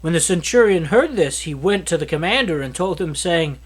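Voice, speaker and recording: High-pitched man reading scripture aloud. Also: animated, moderate pace, husky. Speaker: Bible reader.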